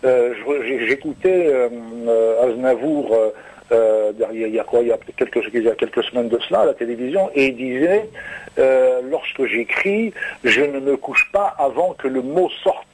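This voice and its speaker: Older male guest, Kabyle native, husky, hesitant and repetitive in talk. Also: mid-range voice, darkish tone, rough recording. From Normandy, France. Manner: halting, many repeated words and fillers. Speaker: singer-songwriter guest. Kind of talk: casual radio interview.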